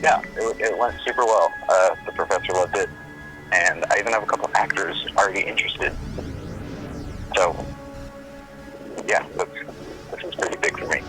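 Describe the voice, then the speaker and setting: An earnest student son played by a young man leaves a casual voicemail in an audio drama. His voice is very low, and he talks in a hesitant, understated way over music. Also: moderate pace, long pauses, natural voice, rough recording. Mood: pleased. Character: earnest college-student son, mildly concerned.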